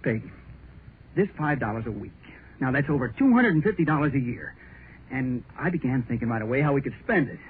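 An actor playing an excited, nervous young American husband in a 1930s radio drama, gushing and rambling in slang on a scratchy recording. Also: male voice, mid-range voice, brisk, narrow, tinny audio, lightly acted.

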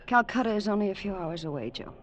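A woman's voice: mid-range voice, lively intonation, fast, close to an everyday voice. An actress playing a troubled, guarded young woman in a 1950s radio drama, earnest and strained. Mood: cold, rebuffing. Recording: dull old radio recording, faint noise.

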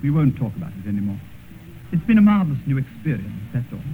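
An actor playing a vain, callous young aristocrat in a theatrical, composed manner in an old radio drama. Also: male voice, mid-range voice, sing-song, fast talker, close to an everyday voice. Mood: detached. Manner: theatrical, composed, coolly haughty. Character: vain, callous, beautiful young aristocratic man, secretly anxious. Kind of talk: 1940s-50s radio drama acting. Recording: muffled vintage radio recording, faint hiss.

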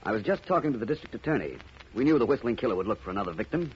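An actor playing a calm, courteous private detective with an assured manner in a 1950s radio drama. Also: male voice, mid-range voice, racing, natural voice. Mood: reassuring, confident. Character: calm, confident, polite male private detective. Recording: muffled, noisy old radio recording.

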